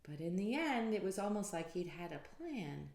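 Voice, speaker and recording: A woman reading a children's story aloud in an expressive, deliberate way. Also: on the low side, creaky. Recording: roomy, echoey sound.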